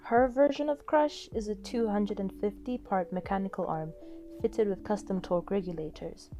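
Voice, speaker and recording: A woman's voice: medium pitch, moderate pace, dark tone. A woman reading scripted second-person story narration expressively, as the narrator of an audio fan fiction. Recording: music under the voice.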